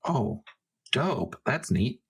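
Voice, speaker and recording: A man's voice: medium pitch, big pitch swings, extremely slow, breathy edge. A man chatting casually and without a script as a player in a tabletop roleplaying game. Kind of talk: casual, unscripted game talk.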